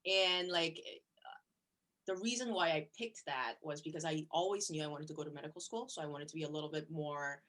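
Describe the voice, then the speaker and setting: A woman's voice: low voice, brisk, a bit bright. A young woman from Korea, a surgical resident, chatting casually in an interview and filling her answers with "you know" and "like". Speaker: plastic surgery resident.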